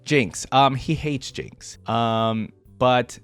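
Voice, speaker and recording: A man's voice: medium pitch, extremely slow, a bit bright, clean tone, soft background music. A man giving casual, off-the-cuff commentary as a video host, informal and hesitant as he thinks aloud.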